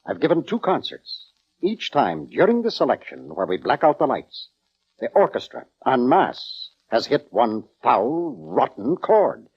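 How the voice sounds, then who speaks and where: An actor playing a pompous, self-important orchestra conductor in 1940s radio drama style, formal, theatrical and easily affronted. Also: male voice, medium pitch, wildly swooping pitch, measured pace, breathy edge, full character voice. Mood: troubled, indignant. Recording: dull vintage radio recording.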